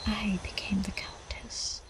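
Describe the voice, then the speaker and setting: A woman's voice: mid-range voice, flat delivery, slow pace, close to an everyday voice, rough recording. A woman playing a seductive, possessive vampire countess in an ASMR roleplay, elegant and teasing, often slipping toward a whisper.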